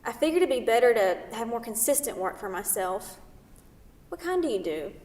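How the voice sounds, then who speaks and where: A brash city woman, acted by a woman in a staged play reading, needles and teases with pushy, playful sarcasm. Her voice sits on the high side and comes from far off in a very echoey hall. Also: big pitch swings, normal speed, darkish tone. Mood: curious, playful. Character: brash, teasing New York City woman, a science scholar. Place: theatre, recorded from a distance. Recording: distant mic in echoey hall.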